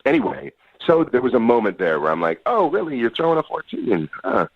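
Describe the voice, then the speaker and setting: A man's voice: mid-range voice, wildly swooping pitch, brisk, creaky. A man calling in to a radio show, chatting casually in a slangy, self-deprecating and jokey way. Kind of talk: casual chat. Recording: dull phone-call audio.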